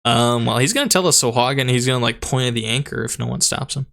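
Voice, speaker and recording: A man running a tabletop game as dungeon master, narrating in a halting, rambling way with a voice that is going hoarse. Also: mid-range voice, a bit bright. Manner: rambling, halting, laughs often. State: losing his voice.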